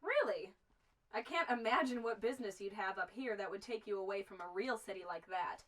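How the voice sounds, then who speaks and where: A woman's voice: mid-range voice, brisk, fairly bright tone, lightly acted. An actress playing a friendly, talkative young woman who keeps a remote frontier store, with a folksy manner. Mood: friendly, chatty. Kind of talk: amateur western film acting.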